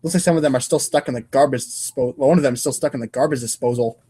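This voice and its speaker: A man's voice: medium pitch, very fast, mellow, breathy edge. A man reading comic narration aloud in a casual, irreverent way, stumbling over lines now and then. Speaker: podcast co-host and reader.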